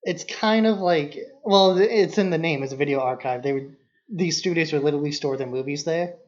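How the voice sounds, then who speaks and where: A man's voice: a little high, fairly quick. A man co-hosting a podcast, explaining things about film in a casual, loosely worded way. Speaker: podcast co-host. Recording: dull, lo-fi podcast audio.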